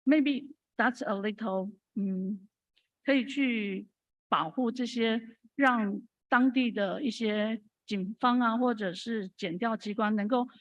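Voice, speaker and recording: A woman's voice: fairly high pitch, slow, no room sound, dull audio. Woman, hesitant audience speaker, dry close mic. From Taiwan. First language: Mandarin Chinese. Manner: hesitant, many fillers. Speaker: audience member speaking from the floor.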